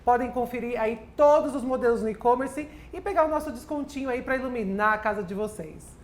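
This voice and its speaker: Higher-voiced woman hosting a podcast, bubbly and chatty. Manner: upbeat, chatty, quick interjections. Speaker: podcast co-host. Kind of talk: casual podcast hosting, ad read.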